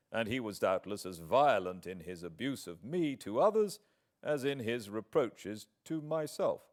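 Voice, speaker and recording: A British man reading a classic novel as a proud, wronged gentleman, with formal, measured, deliberate phrasing. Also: on the low side. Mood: grave, bitter. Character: proud, wronged English gentleman writing a formal, earnest letter.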